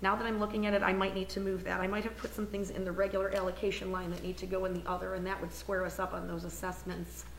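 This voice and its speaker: A woman with a hoarse, scratchy voice presenting a budget report to a council, chatty and informal, thinking aloud as she goes. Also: mid-range voice, bright, forceful tone, roomy sound. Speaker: municipal finance officer presenting the budget. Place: council meeting room.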